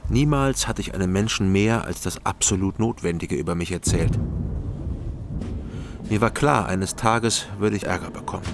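An actor playing a guarded, melancholy private detective, narrating in a measured, confessional way in a radio drama. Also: male voice, sits low, noisy background. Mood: guarded, reflective. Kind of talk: radio drama first-person narration and dialogue.

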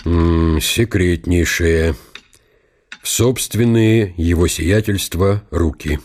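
An older actor playing a shrewd, ambitious old field marshal, musing deliberately to himself in a radio play. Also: male voice, deep voice, a little mellow. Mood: reading aloud. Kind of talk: radio drama monologue. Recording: long hall reverb, music underneath. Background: music.

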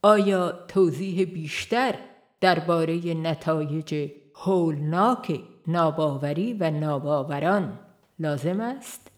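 A woman reading a nonfiction audiobook aloud in a measured, earnest manner, posing rhetorical questions as she goes. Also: low voice. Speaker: audiobook reader. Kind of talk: scripted nonfiction narration.

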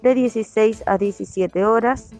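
A woman announcing on radio, reading scripted lists item by item in a measured way. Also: a little high, darkish tone. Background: faint music.

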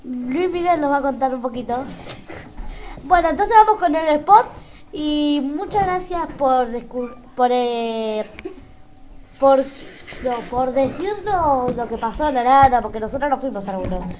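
A woman with a Rioplatense accent hosts a radio chat in a high-pitched voice. She asks questions in a casual, conversational way. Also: expressive, measured pace, a little mellow. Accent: Rioplatense Argentine. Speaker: radio host.

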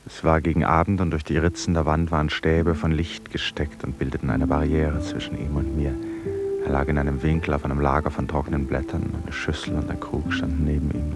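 A man acting in a German radio play as a reflective painter, with a measured, literary delivery. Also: extremely low pitch. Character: reflective, helpful male painter recalling jungle expedition.

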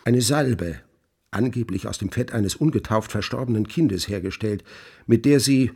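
A man playing a grandiloquent scholar in a radio play, recounting witchcraft lore with relish in declamatory, archaic phrasing and emphatic pauses. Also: lowish voice, creaky, dark tone, slightly rough. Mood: relishing, dramatic. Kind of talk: theatrical literary storytelling in a radio play.

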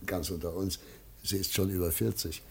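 A creaky, pompous, greedy husband. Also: male voice, on the high side, expressive, takes it slowly, a little mellow. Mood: scornful. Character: greedy, crude, pompous husband offering up his wife. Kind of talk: classic German radio play acting.

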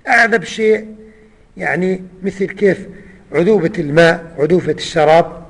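A high-pitched man gives a religious lesson. He recites verses, repeats them and explains each one. His voice rings with the long reverb of a large hall. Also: normal speed, creaky. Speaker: Islamic scholar teaching.

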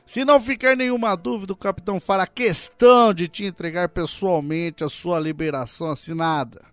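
A man playing a stern tiger police detective in a comedic audio drama, speaking formally and deliberately with cold sarcasm. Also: sits high, no room sound, faint music behind, lightly acted.

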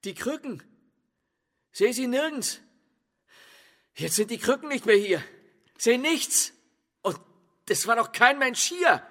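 An actor playing a bitter, tormented husband in a literary radio drama, speaking in a solemn, declamatory and halting way. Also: male voice, very high voice, very animated, bright, forceful tone, heavily acted. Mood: bewildered. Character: bitter, brooding, fatalistic husband, resigned yet tormented. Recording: long hall reverb.

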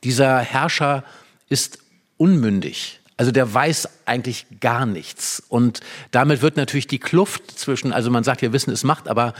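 A man's voice: medium pitch, normal speed. Analytical man in explanatory discussion. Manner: analytical, emphatic, poses rhetorical questions. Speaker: literary discussion guest explaining a play.

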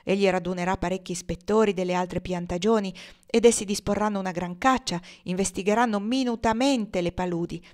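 A woman reading an audiobook, voicing a grim, determined fugitive woman who speaks calmly and low. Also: brisk, lightly acted. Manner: calm, low, steady. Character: grim, resolute woman fugitive, cold and calm.

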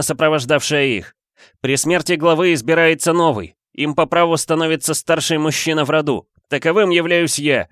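A male narrator acts out a young fantasy lord who has just taken command of his clan. He is stern and imperious, with a declamatory delivery pitched well up. The sound is dry and close. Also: a bit bright, dry recording, natural voice.

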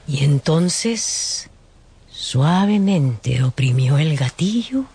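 A man's voice: on the high side, animated, very slow, darkish tone, slight background noise. A man narrating a scripted radio drama as a storyteller.

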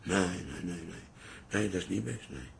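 An actor playing a gruff, blustering old bar-keeping father in a radio drama. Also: low voice, moderate pace, slight background noise. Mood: weary, worried. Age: older. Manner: blustering, scolding.

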